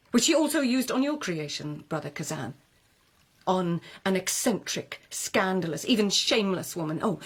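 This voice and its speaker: An actress playing an intelligent, outspoken lady of the court in a radio drama, speaking with deliberate, rhetorical phrasing and pointed irony. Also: fairly low pitch, slightly rough, heavily acted.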